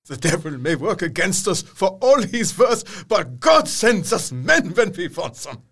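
An actor playing an urgent, grave older professor of medicine in a gothic audio drama, speaking in formal, exclamatory phrases. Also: male voice, sits high, big pitch swings, a little slow, a bit bright, exaggerated voice. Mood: relieved, fervent. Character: older foreign male doctor-professor, urgent, grave, fiercely earnest.

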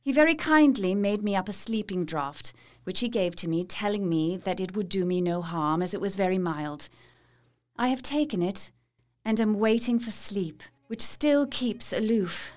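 A woman's voice: medium pitch, lively intonation, moderate pace, natural voice. Woman playing an anxious young Victorian diarist. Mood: drowsy, uneasy. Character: gentle, anxious young Victorian wife writing her diary. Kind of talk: dramatized diary narration. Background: faint music.

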